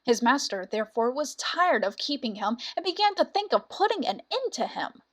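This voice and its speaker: Woman, higher-pitched voice, storyteller, scripted fairy-tale narration. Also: sing-song, moderate pace.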